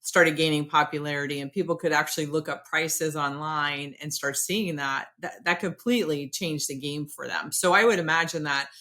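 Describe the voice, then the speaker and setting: A woman hosting a podcast interview, talking in an excitable, rambling, self-deprecating way. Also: deep voice, moderate pace, hardly any pauses. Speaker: podcast host. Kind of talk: casual interview chat.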